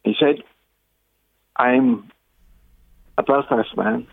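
An older man with a Northern Irish accent giving thoughtful, halting, anecdotal answers as a radio interview guest over a phone line. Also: mid-range voice, vocal fry, husky, no echo. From Northern Ireland. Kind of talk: reflective radio interview talk. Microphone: telephone. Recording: thin narrow-band phone line.

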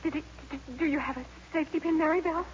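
Woman doing a flustered teen girl, really high voice, stammery n pleading, muffled old radio. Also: quick pace, natural voice. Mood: mortified. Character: flustered, easily embarrassed teenage schoolgirl. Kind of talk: 1950s radio drama acting. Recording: muffled, noisy vintage radio broadcast.

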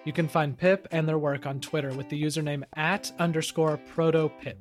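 A man's voice: fairly high pitch, steady tone, moderate pace, faint music behind. A man reading scripted podcast credits and sign-off as the show's announcer.

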